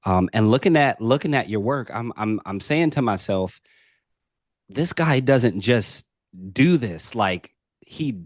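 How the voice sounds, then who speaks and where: A man's voice: medium pitch, expressive, slow, no room sound, studio quality. A man hosting a podcast, welcoming his guest with enthusiastic, looping casual talk. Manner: enthusiastic, repeats words, frequent likes. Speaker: podcast host. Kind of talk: casual interview.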